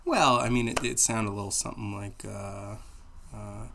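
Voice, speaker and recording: A man co-hosting a podcast in casual chat, loose and profane with deadpan jokes. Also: on the low side, moderate pace, vocal fry, a little hiss or hum. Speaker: podcast co-host.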